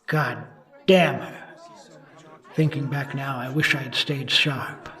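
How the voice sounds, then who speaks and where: A man's voice: mid-range voice, fairly even intonation, slow pace, sharp tone, far from the mic, a faint crowd. An actor playing a brooding, boozy older man who narrates a noir radio drama with world-weary regret. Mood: brooding, regretful.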